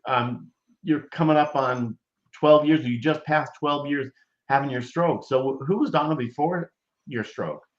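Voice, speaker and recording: Male host on a video call, casual and halting, lots of filler sounds; roomy, lo-fi sound. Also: a little high. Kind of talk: casual live-stream interview.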